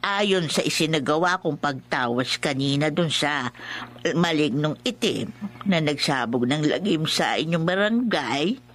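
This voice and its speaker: An actress playing an old village folk healer in a Filipino horror radio drama, solemn and ominous, with a Filipino accent and weighty delivery. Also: female voice, sits low, animated, a little slow. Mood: grave, ominous.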